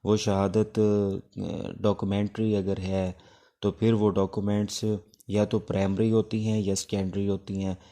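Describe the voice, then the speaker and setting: A man with a Pakistani accent gives an informal exam-prep law lecture, mixing in English legal terms, in a halting, instructive way with pauses between phrases. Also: on the low side, flat delivery, mellow.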